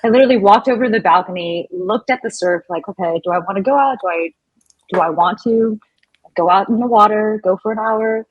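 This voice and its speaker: A woman's voice: medium pitch, brisk, husky, no echo, highs cut off. A woman speaking as a podcast guest, chatting informally and thinking aloud as she answers. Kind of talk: casual interview chat.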